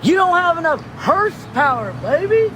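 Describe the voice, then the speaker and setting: A woman's voice: very high-pitched, sing-song, normal speed, noisy background. An actress playing a brash, easygoing, reckless woman tour operator, bantering with sassy ease in an audio drama. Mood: teasing banter. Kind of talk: horror audio-drama dialogue.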